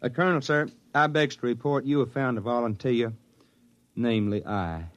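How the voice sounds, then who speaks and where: An actor playing a dim, reluctant enlisted soldier in a 1950s radio drama, folksy, deferential and hesitant. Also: male voice, medium pitch, moderate pace, natural voice. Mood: nervous, reluctant. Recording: dull vintage 1950s radio recording.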